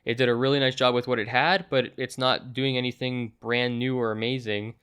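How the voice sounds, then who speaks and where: A man's voice: medium pitch, little pitch movement, a little fast, fairly bright tone, clear, resonant tone. A young man hosting a movie review, chatting casually in an enthusiastic, agreeable way. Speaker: host of a movie review show.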